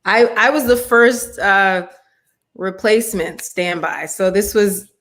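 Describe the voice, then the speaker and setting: Woman, low alto voice, bubbly, laughs a lot, casual video call chat. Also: slow pace, fairly bright tone. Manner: bubbly, laughs often, says honey and y'all. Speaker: guest performer.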